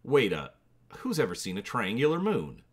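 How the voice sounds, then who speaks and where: A man voicing a roguish young male smuggler pilot, speaking in quick, wry, clipped remarks. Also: fairly low pitch, huge pitch swings, slightly rough, heavily acted. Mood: impatient.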